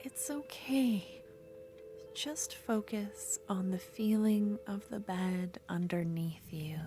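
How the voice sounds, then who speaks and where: A woman playing an obsessive, possessive yandere duelist in an ASMR fantasy roleplay, speaking intimately and moving between soft speech and breathy whispers. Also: mid-range voice. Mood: coaxing, obsessive.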